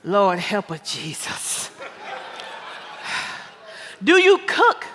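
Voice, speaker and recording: A woman's voice: mid-range voice, wildly swooping pitch, a bit bright, exaggerated voice. A woman on stage playing a bawdy, boisterous older mother who schools her grown daughter, sassy and preachy in a big theater hall. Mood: admonishing. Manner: sassy, preachy, exclamatory, drawn-out emphasis. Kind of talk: broad comedic stage play acting. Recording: echoey theater hall with long reverb.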